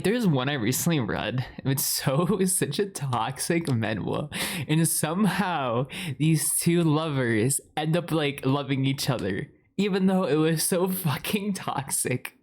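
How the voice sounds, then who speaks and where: A man's voice: on the high side, animated, measured pace, a little mellow. A young man playing a chatty, lovestruck boyfriend in an improvised ASMR roleplay, rambling in a playful, dramatic way. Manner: rambling, giggly, playfully dramatic, frequent swearing.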